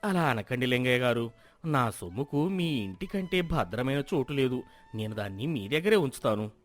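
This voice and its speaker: A man's voice: mid-range voice, very animated, moderate pace, soft background music, lightly acted. A man playing an earnest, trusting, hardworking villager who speaks respectfully and plainly in a moral story.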